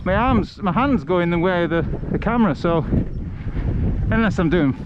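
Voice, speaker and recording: A man's voice: high-pitched, lively intonation, normal speed. A man chatting casually and wryly in a rambling way as he hosts a walking livestream. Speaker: livestream host and travel vlogger. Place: outdoors, walking along a village road. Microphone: action camera on a head strap. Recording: muffled outdoor audio with loud wind noise. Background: wind and ambient outdoor noise.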